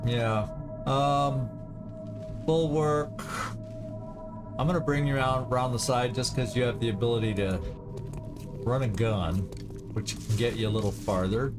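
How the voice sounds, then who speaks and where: A man giving casual commentary on a strategy game, thinking aloud in a deliberate way as he plays. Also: medium pitch, steady tone. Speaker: gaming video host. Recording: game music behind the voice. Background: video game music.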